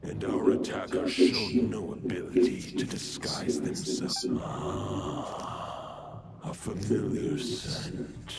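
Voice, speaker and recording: A man voicing an ancient, solemn giant wolf spirit in a fantasy game, with a gravelly growl and stately, formal delivery. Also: on the low side, huge pitch swings, slow, very noisy, heavily acted. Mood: stern, watchful. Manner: stately, formal, archaic phrasing. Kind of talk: fantasy video game acting.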